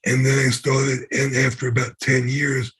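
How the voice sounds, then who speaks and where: A middle-aged man from Brooklyn with a New York accent and a hoarse, damaged voice, telling his story as a podcast guest. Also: medium pitch, monotone, moderate pace, fairly bright tone. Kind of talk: interview storytelling.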